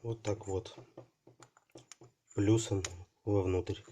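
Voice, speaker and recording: A man hosting a casual unboxing video, talking haltingly and thinking aloud while his voice is affected by a cold. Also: on the low side, little pitch movement, warm tone, a little hiss or hum. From Russia. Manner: halting, thinking aloud, many fillers like "so" and "there". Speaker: channel host unboxing parcels.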